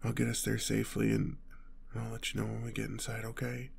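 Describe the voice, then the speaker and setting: A man's voice: medium pitch, flat delivery, moderate pace, gravelly, darkish tone, slight background noise. A man playing a protective, anxious boyfriend on the run, stammering and tender, in an intimate audio roleplay. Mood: calming, weary. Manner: stammering, urgent, tender reassurance. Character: panicked, protective boyfriend fleeing a gunman with his partner.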